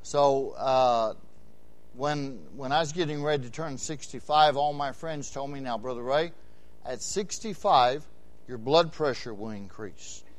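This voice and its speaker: A man in his sixties preaching a Baptist sermon, repeating phrases in rhythmic runs and swinging from quiet asides to loud, emphatic calls. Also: medium pitch, a little slow. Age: 60s. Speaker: Baptist pastor.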